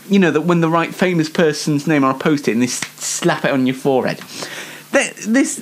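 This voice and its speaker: A British man hosting an unscripted comic news show in a rambling, self-mocking way. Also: a little high. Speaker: host of a comedy news show.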